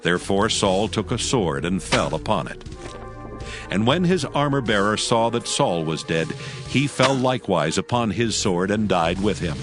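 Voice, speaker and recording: A man narrating scripture as a scripted audio drama, with solemn, measured storytelling. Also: on the low side, expressive. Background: music bed.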